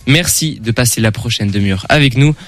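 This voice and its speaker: A young man hosting a student radio show around a studio table, chatty and relaxed with small restarts. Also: medium pitch, brisk, music in the background. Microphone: studio mic.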